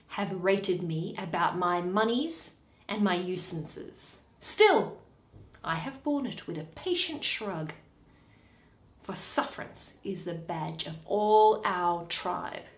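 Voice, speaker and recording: A woman playing a shrewd, aggrieved male moneylender in a Shakespeare reading, with emphatic, theatrical, deliberate delivery. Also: mid-range voice, roomy sound. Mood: bitter, aggrieved.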